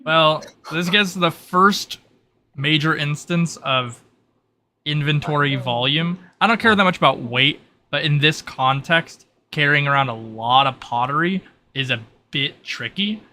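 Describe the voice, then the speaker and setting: A man's voice: fairly high pitch, unhurried, sharp tone. A man running a tabletop roleplaying game as game master, explaining rules in an informal, casual way. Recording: noticeable background noise.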